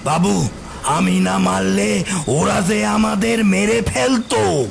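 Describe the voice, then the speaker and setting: A man playing a vengeful, grim, poor boatman hunter in a cartoon horror story, speaking in a halting, threatening way. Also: high-pitched, gravelly, full character voice. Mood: grim, insistent. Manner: slow, halting, drawn-out threatening delivery. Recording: loud music behind the voice.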